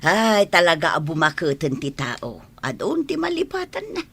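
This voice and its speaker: A woman's voice: sits low, wildly swooping pitch, a little slow, creaky, slightly rough, heavily acted. A woman with an Ilocano accent playing a doting, cheerful elderly mother and matchmaker in a radio drama. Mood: cheerful welcoming.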